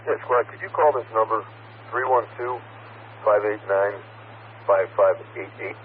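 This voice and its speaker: A man, a police officer, talking haltingly to dispatch over a police radio. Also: fairly low pitch. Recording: narrowband police radio with faint noise.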